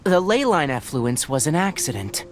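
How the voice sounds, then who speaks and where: A woman voicing a thoughtful, measured investigator in pondering video game dialogue. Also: low voice, big pitch swings, over loud music. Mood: pensive. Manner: pondering, deliberate. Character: thoughtful, measured investigator examining old recordings.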